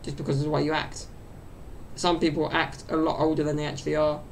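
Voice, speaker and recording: A man's voice: monotone, quick pace, slight background noise. A young man in his twenties hosting a solo podcast, rambling and chatty, speaking into a desk mic set off to the side in his bedroom. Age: 20s. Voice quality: high-pitched, gravelly. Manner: chatty and meandering, full of fillers. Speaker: podcast host. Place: small bedroom at home.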